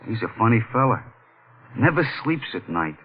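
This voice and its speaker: An actor playing a frightened, jumpy janitor in a 1940s-style radio mystery, speaking in a nervous, ominous hush. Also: male voice, mid-range voice, big pitch swings, normal speed, slight background noise, lightly acted. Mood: uneasy, gossipy. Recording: tinny old radio recording with music.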